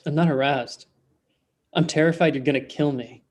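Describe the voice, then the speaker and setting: A man's voice: fairly high pitch, fairly even intonation, normal speed, lightly acted. A man in his thirties playing a nervous, lovelorn office temp in a comedy table read, anxious and hesitant. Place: indoor room at home, video call. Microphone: video call, earbuds.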